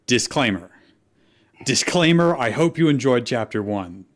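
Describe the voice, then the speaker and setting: A man's voice: mid-range voice, expressive, measured pace. A man in a comedy reading group, bantering casually and playfully reading aloud.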